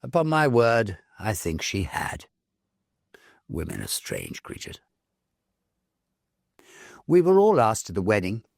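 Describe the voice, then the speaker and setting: A man reading a Victorian story aloud, measured and expressive, with dramatic pauses. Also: on the low side, vocal fry, no room sound, clean studio sound. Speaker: story reader, narrator. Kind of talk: dramatic literary narration of a Victorian ghost story.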